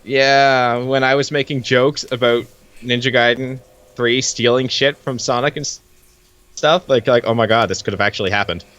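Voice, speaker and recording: A man's voice: medium pitch, steady tone, moderate pace, fairly bright tone, clear, resonant tone, dull audio. A man co-hosting with casual, teasing banter, often contradicting his partner. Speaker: co-host bantering over a webcomic.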